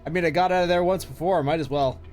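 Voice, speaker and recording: Male streamer, slightly hoarse, reading game text aloud, somewhat high voice, casual asides. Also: fast talker, a little mellow, soft background music. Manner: reads long text passages aloud with brief asides. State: slightly hoarse from long talking.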